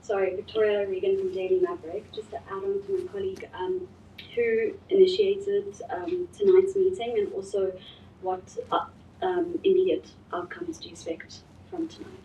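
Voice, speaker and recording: A woman's voice: mid-range voice, sing-song, normal speed, mellow, a little hiss or hum. Hesitant woman reporter, distant and roomy. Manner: hesitant, filler-laden. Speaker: journalist. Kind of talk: question at a press briefing.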